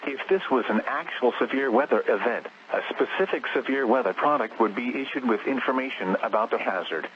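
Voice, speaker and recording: A man reading scripted emergency test announcements as a weather radio announcer, in a formal, measured, synthetic-sounding delivery over a staticky broadcast. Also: medium pitch, muffled recording, a little hiss or hum.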